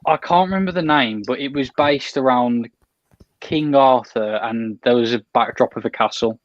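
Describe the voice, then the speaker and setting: A man's voice: medium pitch, normal speed, no echo, highs cut off. A young man telling a story on a video call, often stopping and restarting as he goes. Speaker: podcast guest.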